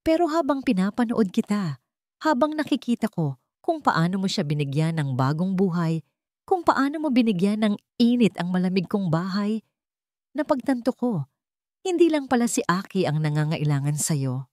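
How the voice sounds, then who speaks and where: A woman with a Filipino accent voicing a tender, devoted father in love, softly and sincerely, in a narrated story. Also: mid-range voice, very animated, normal speed, no room sound, studio quality, natural voice. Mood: sincere confession. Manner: soft, sincere, pleading.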